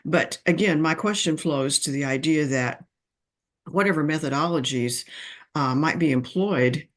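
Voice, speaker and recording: Halting man asking a long question. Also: a little high, fairly bright tone. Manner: slow, halting, many fillers. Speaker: audience member asking a question. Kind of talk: online discussion question.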